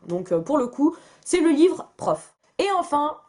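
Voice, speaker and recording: A woman's voice: high-pitched, very animated, measured pace, a little mellow. A young woman from France chatting casually to camera about books, with many fillers and restarts and a self-mocking way of talking. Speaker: book vlogger.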